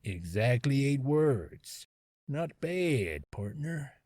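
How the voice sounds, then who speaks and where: Man doing a slow, laconic cowboy. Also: medium pitch, sing-song, a little mellow, dry recording, clean studio sound, full character voice. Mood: cool, wry. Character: laconic Texan cowboy wanderer, dryly folksy. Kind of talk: character voice reel, game acting.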